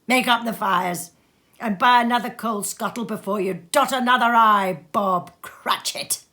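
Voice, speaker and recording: Figure: elderly male miser turned generous , played by a woman. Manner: gruff then hearty and booming. Tone: bright and forceful. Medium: storybook reading. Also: measured pace.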